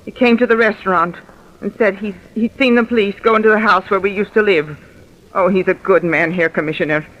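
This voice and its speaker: A woman's voice: medium pitch, steady tone, fairly quick, highs cut off, lightly acted. An actress playing the anguished, loyal wife of a wanted man, pleading melodramatically in old-time radio drama style. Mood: distressed. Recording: noisy vintage radio recording.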